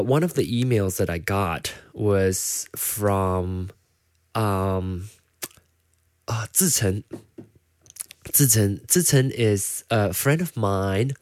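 A man's voice: lowish voice, darkish tone, no room sound, studio quality. A man from Malaysia with a Malaysian English accent, partly Americanized, hosting his own show in a casual, rambling solo chat full of fillers and restarts. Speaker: podcast host.

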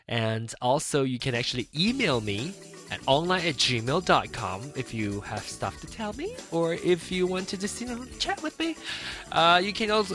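A man's voice: a little high, fairly bright tone. A man in his late thirties hosting a casual solo podcast, rambling and chatty and laughing often as he talks. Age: 30s. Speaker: podcast host.

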